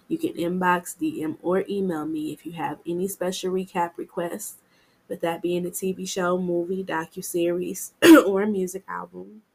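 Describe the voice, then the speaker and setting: A woman's voice: lowish voice, fairly even intonation, normal speed, gravelly, breathy edge. A woman hosting a casual TV recap podcast, talking to her listeners in a chatty, slangy, upbeat way while getting over a cold. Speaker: podcast host.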